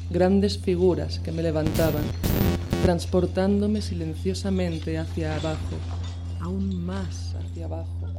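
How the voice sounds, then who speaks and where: A woman giving a slow, measured dramatic reading of a horror tale, with long pauses between phrases. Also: lowish voice, fairly even intonation, over loud music. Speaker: reader.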